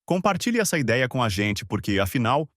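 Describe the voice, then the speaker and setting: A man's voice: mid-range voice, big pitch swings, brisk, a bit bright, no echo, clean studio sound. A man working as an announcer, delivering scripted promotional narration.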